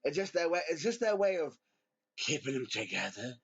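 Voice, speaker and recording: A British man with a high, wildly swooping voice, prone to theatrical, exasperated outbursts. He co-hosts a casual wrestling chat. The audio is dull and lo-fi. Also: big pitch swings, fairly quick, gravelly, husky. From Britain. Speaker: podcast co-host.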